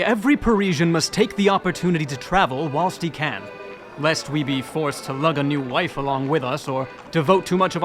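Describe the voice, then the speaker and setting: A young gentleman adventurer in an audio drama. His voice is fairly high and lively, dramatic and florid, with music faintly underneath. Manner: grandiloquent, dramatic. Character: theatrical, excitable young Parisian gentleman, romantic adventurer. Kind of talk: audio drama acting, storytelling.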